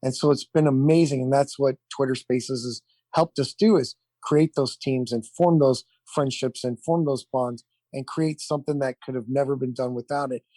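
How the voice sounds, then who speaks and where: A man's voice: medium pitch, fairly even intonation, moderate pace, few pauses, warm tone, no echo. A man giving earnest, enthusiastic interview answers as an event founder, effusive and given to repeating his points. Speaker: event founder, podcast guest.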